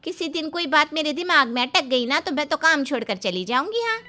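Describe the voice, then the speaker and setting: A high-pitched, lively housemaid acted out by a woman in a story drama. She is cheeky and put-upon, protesting with a folksy whine that swings widely. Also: a little fast, soft background music. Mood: exasperated. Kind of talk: dramatized Hindi moral story.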